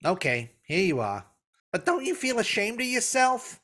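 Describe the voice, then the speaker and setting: A man's voice: high-pitched, sing-song, normal speed, bright, forceful tone, full character voice. A man playing a gullible, out-of-work pool buddy in a 1950s-style radio comedy, the wide-eyed straight man. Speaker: radio play actor.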